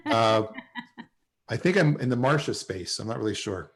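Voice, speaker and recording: A man of average pitch hosts an online show over a video call. He talks in a casual, conversational way, chatting with a group of guests. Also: expressive, normal speed, creaky.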